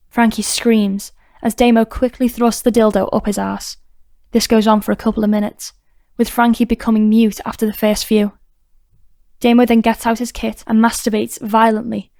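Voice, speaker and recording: Narrator, female: scripted crime audiobook reading, mellow tone, slightly high register, steady. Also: vocal fry, no room sound, studio quality.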